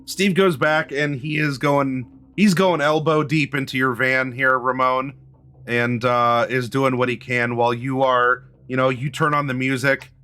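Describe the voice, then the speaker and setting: A man with a squeaky voice narrating a tabletop game as game master, halting and often clearing his throat. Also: fairly even intonation, sharp tone.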